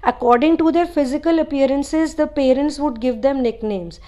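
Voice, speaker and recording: A woman's voice: high-pitched, normal speed, mellow. A Nepali-born woman, a spiritual channeler, giving a chatty, rambling talk to her viewers with many "you know" asides.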